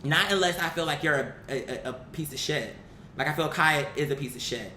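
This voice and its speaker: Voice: moderate pace, sharp tone. An online commentary host giving a blunt, opinionated, casual rant to viewers, swearing freely and repeating words for emphasis. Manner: blunt, profane, emphatic repetition. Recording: roomy echo, faint rumbling noise.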